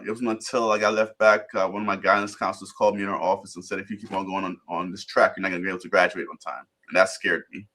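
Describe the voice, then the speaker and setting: A man in his thirties from Guyana, a guest telling his life story on a video call, relaxed and rambling with restarts. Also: fairly low pitch. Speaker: interview guest. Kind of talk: casual storytelling interview.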